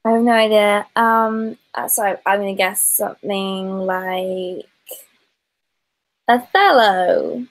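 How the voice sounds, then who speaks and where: A woman's voice: medium pitch, fairly even intonation, takes it slowly, rough recording. A woman answering as a quiz contestant, casually and hesitantly offering guesses. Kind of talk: casual chat, tentative guessing.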